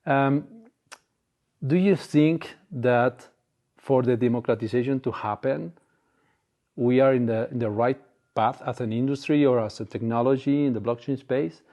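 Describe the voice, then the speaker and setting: Mellow-voiced man asking a hesitant question. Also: mid-range voice, moderate pace, muffled recording. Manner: searching, hesitant, rephrases. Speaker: interviewer. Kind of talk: interview question.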